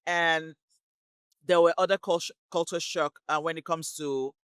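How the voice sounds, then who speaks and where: A woman from Lagos, a guest in an interview, talking casually and pausing to search for words. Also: low voice, steady tone, fairly bright tone, clean tone, dry recording, clean studio sound. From Lagos, Nigeria. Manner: thoughtful, unhurried, pauses to search for words. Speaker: guest, community relations worker.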